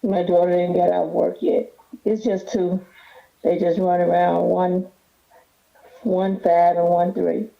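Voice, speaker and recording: A woman in her fifties calling in to a talk show, hesitant and soft-spoken, often asking to have questions repeated. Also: fairly low pitch, flat delivery, unhurried, creaky, pauses often. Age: 50s. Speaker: caller. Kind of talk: phone call to a talk show. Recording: dull phone line. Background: children.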